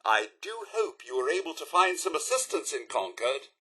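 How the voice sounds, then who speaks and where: Video game robot butler: British, refined, easily dismayed, formal and deferential; highish pitch, bright, forceful edge. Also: male voice, moderate pace, breathy edge, heavily acted. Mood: polite, fussy. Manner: formal, deferential, theatrically expressive. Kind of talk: video game companion voice lines.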